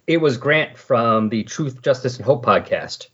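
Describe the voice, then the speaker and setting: A middle-aged man with a slightly husky voice hosting a casual comic book discussion in a chatty, explanatory way. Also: mid-range voice, expressive, a little slow, fairly bright tone. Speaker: podcast host. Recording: dull lo-fi voice recording.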